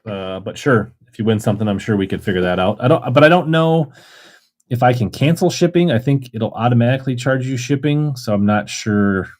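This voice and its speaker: A man's voice: mid-range voice, a little fast, a little mellow. A man hosting a casual livestream auction, chatting in a rambling way and reading viewer comments aloud. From Minnesota. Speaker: live auction seller.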